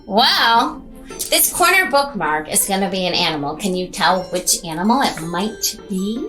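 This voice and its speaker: A woman's voice: mid-range voice, expressive, normal speed, over music, rough recording. A woman craft teacher guiding children step by step in simple, encouraging phrases.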